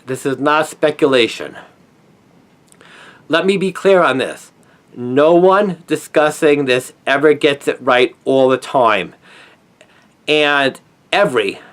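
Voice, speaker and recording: Higher-pitched man, earnest and emphatic. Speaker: conspiracy commentator, former newspaper journalist. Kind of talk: scripted opinion monologue.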